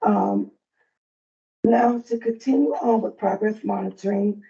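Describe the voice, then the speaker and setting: A woman with a soft, raspy voice presenting updates at a provider meeting in a friendly, loose way. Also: medium pitch, measured pace, highs cut off. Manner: friendly, loose, with frequent fillers. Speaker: early learning coalition staff presenter.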